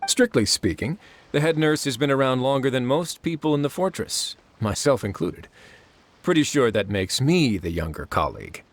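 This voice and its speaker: An easygoing prison warden in a game, dry-humored and casual. His voice sits at a middle pitch, relaxed and plain. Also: normal speed, a little hiss or hum.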